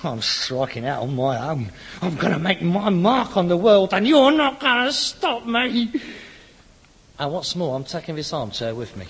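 A man's voice: high-pitched, lively intonation, unhurried, fairly bright tone, slight background noise, full character voice. An actor playing a frustrated, pretentious rag-and-bone man of about forty with a working-class London accent, exasperated, grandiose and sarcastic in a sitcom. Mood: bitter, grandiose. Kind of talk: scripted sitcom acting. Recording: reverberant hall, old sitcom recording.

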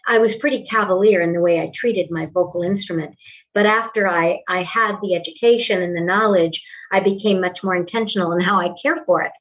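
An older woman in her sixties talks in a measured, articulate way about her teaching. The recording sounds dull and lo-fi. She is a little away from the mic. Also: on the low side, far from the mic. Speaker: voice and acting teacher. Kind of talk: casual interview conversation. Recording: dull lo-fi audio, slightly off-mic.

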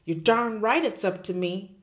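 A woman voicing a huge, bullying headmistress who shouts, barks and sneers at everyone. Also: medium pitch, animated, unhurried, natural voice. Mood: blustering. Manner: shouting, barking, scornful.

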